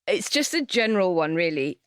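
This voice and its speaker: Female podcast host, slightly low voice, dry studio sound, emphatic casual delivery. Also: sing-song, brisk, fairly bright tone, clean tone, no echo. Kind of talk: casual chat and appeals to listeners.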